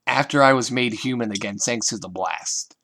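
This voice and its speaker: A man's voice: medium pitch, moderate pace, sharp tone. An easygoing teenage girl, read by a man in a story. The delivery is casual and a little hesitant, with a guarded temper. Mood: resigned.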